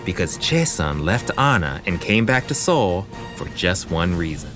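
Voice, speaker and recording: A man's voice: low-pitched, sing-song, measured pace, music in the background. A man narrating a scripted audio drama in a storytelling style.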